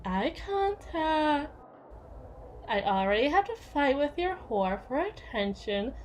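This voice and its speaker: A woman's voice: high voice, big pitch swings, slow pace, full character voice. A woman playing a domineering, possessive, jealous young yandere in a scripted ASMR roleplay, with a sardonic, needling delivery. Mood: demanding, irritated. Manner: sardonic, foul-mouthed, needling, with hesitant trailing repeats.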